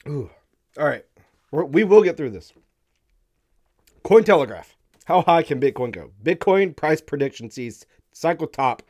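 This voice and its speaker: Hoarse male stream host, fading voice, blunt and wry. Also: mid-range voice. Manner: blunt, wry, breaks into laughs. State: hoarse, losing his voice, coughing. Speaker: crypto live-stream host. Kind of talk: casual opinionated commentary. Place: dark studio room, indoors. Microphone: studio mic on stand in front.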